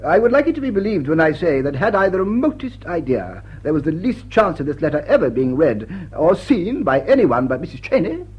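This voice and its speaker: Pompous English gentleman, formal and hesitant, lively swooping pitch, muffled period radio play. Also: brisk, few pauses, husky. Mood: pompous, stiff. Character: pompous, stiffly self-righteous upper-class gentleman. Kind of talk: period stage comedy radio acting.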